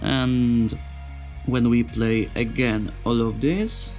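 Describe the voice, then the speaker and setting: A man with a non-native English accent giving an informal tutorial as a sound designer, speaking in simple, halting phrases and searching for words. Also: mid-range voice, fairly even intonation, over music. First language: not English. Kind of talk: informal software tutorial narration.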